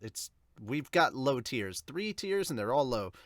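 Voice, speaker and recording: A man's voice: medium pitch, big pitch swings, a little slow, fairly bright tone, no room sound, clean studio sound. A man hosting a manga review podcast, chatting to viewers in an informal, rambling way. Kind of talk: casual chat to viewers.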